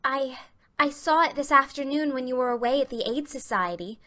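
A woman's voice: high-pitched, expressive, moderate pace, bright, forceful tone, pitched above the performer's own voice. A woman voicing an imaginative, talkative little girl in an audiobook, eager and earnest. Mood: hesitant. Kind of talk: audiobook character reading.

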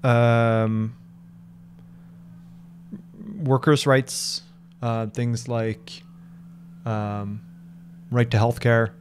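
A man's voice: mid-range voice, little pitch movement, drawn-out words, long pauses, slight background noise. Norwegian man musing through a livestream Q&A. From Norway. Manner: thoughtful, hesitant with many fillers and restarts. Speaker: streamer answering viewer questions. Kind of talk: casual live Q&A. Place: home office room. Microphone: large microphone on desk in front.

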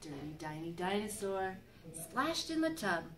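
Librarian lady doing storytime, sing-song n really up and down, slow, little bit of background noise. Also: medium pitch, sing-song. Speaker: children's librarian. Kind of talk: picture-book read-aloud to young children. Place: library reading area with magazine racks, indoors. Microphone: camera microphone a few feet away. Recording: faint background room noise.

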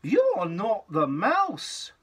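A man voicing a curious, bold young girl monster from a storybook, with dramatic drawn-out exclamations. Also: high voice, wildly swooping pitch, a bit bright, slightly rough, pitched above the performer's own voice, exaggerated voice. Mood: disappointed accusing.

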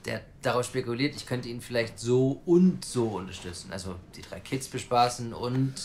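A male actor plays a cocky young man in an audio drama, speaking at a medium pitch. He is defiant, blunt and coarse in a natural, conversational way, with faint noise behind him. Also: moderate pace, lightly acted. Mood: breezy, confident. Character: brash, provocative young man pleading to adopt a child.

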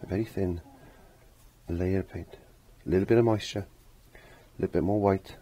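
A middle-aged man with a croaky voice giving a casual step-by-step painting lesson, rambling as if to himself and repeating his phrases. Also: low voice, fairly even intonation, moderate pace, dark tone, poor audio. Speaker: painting instructor. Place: indoor home art studio.

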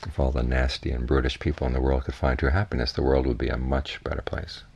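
A man teaching in a guided meditation, giving measured, unhurried instructions with long pauses. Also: a bass voice, flat delivery, gravelly, darkish tone, husky, highs cut off. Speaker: Buddhist monk, meditation teacher.

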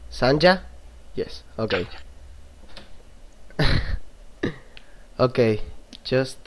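A man's voice: medium pitch, animated, very slow. Brief: young Argentine guy, webcam vlogger, crude self-mocking banter, desk mic. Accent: Argentine Spanish. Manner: swears freely, self-mocking, jokey. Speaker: YouTuber. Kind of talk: casual webcam vlog commentary. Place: small room indoors at a desk. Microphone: computer webcam mic.

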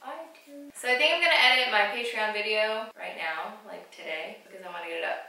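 A woman's voice: mid-range voice, brisk, pushed and bright, roomy sound, poor audio. A young woman in her twenties chatting playfully to the camera in a casual vlog while cooking in her apartment kitchen. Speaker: vlogger. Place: small apartment, living room and kitchen. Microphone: handheld camera at arm's length.

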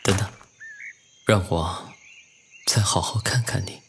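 A man acting a cold, scheming, possessive young nobleman in a Chinese costume audio drama, with a mocking, deliberate manner. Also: deep voice, fairly even intonation, creaky, background noise, heavily acted. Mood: smug, teasing. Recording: music playing behind the voice.

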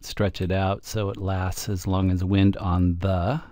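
A man giving an informal, wry screencast tutorial, thinking aloud as he demonstrates audio software. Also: low-pitched, flat delivery, a little slow, no echo, clean studio sound. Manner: informal, wry, self-deprecating. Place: indoor close-miked voice-over, dry.

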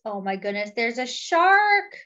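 Animated, unhurried young woman reading aloud. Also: fairly high pitch. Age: young adult. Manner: expressive, exclaiming, pointing things out. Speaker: storytime host, reader. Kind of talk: children's picture book read-aloud.

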